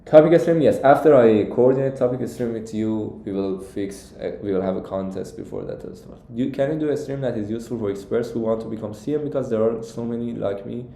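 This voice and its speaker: Young man, Iranian accent, soft dark tone, halting casual live-stream coach, roomy echo. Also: mid-range voice. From Iran. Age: young adult. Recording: echoey, roomy sound.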